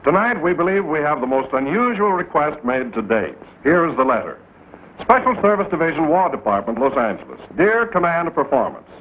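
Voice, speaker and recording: Lively 1940s radio announcer man, tinny. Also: fairly high pitch. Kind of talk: 1940s radio variety announcing, reading a letter. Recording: tinny 1940s broadcast with faint noise.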